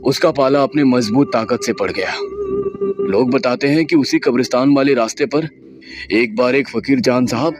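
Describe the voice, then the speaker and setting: A man's voice: mid-range voice, moderate pace, over music. A man narrating a horror story in a dramatic, scripted storytelling style.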